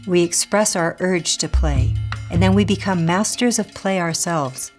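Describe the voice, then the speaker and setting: A woman reading a scripted spiritual teaching in a measured, deliberate way, pausing between short phrases. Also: fairly low pitch, a little mellow. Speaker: reader of a Buddhist teaching. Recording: music playing under the voice. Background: prominent music.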